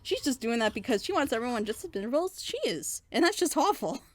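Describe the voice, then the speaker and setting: A woman's voice: a little high, very animated, fast talker. A woman giving an opinionated, casual review in an emphatic, critical manner. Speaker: reaction show panelist.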